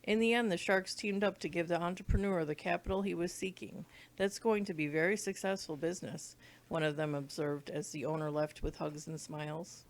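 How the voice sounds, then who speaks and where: A woman's voice: on the low side, steady tone, fairly quick, hardly any pauses. A woman reading a children's book aloud, now and then stumbling over words and rereading them. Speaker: read-along reader.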